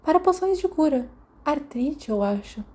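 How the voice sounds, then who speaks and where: A woman's voice: high-pitched, lively intonation, moderate pace, warm tone, pitched above the performer's own voice. A Brazilian woman voicing a small, timid young schoolboy with a hesitant manner in a story reading. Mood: unsure.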